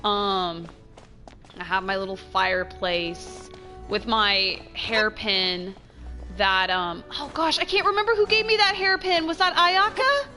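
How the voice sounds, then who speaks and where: A woman giving casual, chatty gameplay commentary as a streamer, expressive and trailing off into asides. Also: mid-range voice, measured pace, faint music behind. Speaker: game streamer.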